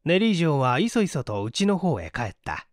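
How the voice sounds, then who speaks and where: A man narrating a mystery story as an audiobook, with an expressive, dramatic delivery. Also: on the high side, normal speed, no echo, clean studio sound. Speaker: audiobook narrator, stage actor.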